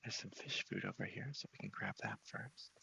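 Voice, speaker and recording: A man's voice: on the low side, a little fast, gravelly, pushed and bright. A man giving casual ASMR gameplay commentary, often whispering as he thinks aloud. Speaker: game streamer.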